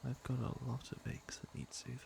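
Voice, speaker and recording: A man's voice: medium pitch, monotone, brisk, background noise. A man playing a teasing, flirtatious fantasy villain with a caring side, in an intimate ASMR roleplay. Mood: seductive. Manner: smooth-talking, teasing, intimate. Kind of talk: ASMR roleplay acting, intimate second-person address.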